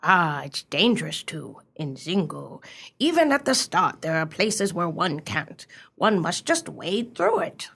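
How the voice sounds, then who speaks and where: A woman's voice: on the low side, very animated, a little slow, creaky, fairly bright tone, breathy edge, heavily acted. An actress playing a sly, nonchalant society woman in a radio play, speaking in an airy, genteel, mock-earnest manner. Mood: blithe, teasing. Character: sly, nonchalant society woman, blithely bluffing her book club.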